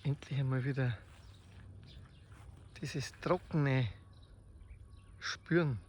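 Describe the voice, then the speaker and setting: A man with a Bavarian accent improvises spoken poetry outdoors in a slow, reflective, halting way, in short phrases with long pauses. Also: mid-range voice, darkish tone. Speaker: amateur poet. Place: outdoors in the countryside. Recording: faint outdoor nature noise. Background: birdsong and rural ambience.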